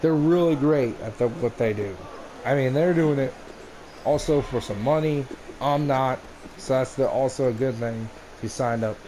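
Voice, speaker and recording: A man's voice: mid-range voice, moderate pace, dark tone. A man from the United States giving casual, rambling let's play commentary into a gaming headset. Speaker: gamer recording a let's play. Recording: noisy headset mic, game ambience. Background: video game ambience.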